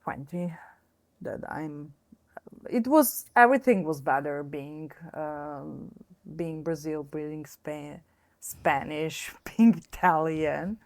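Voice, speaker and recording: A middle-aged woman from Austria with a low, deep-set voice speaks at an unhurried pace. She is a guest in a relaxed conversation, hesitating often with fillers and false starts. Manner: hesitant, many fillers and restarts. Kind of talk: casual interview conversation.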